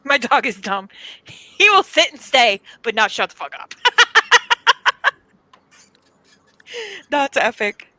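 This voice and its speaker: A woman's voice: on the high side, big pitch swings, slow pace, long pauses, bright, forceful tone, no room sound. A woman in casual chat who explains things at length and laughs often.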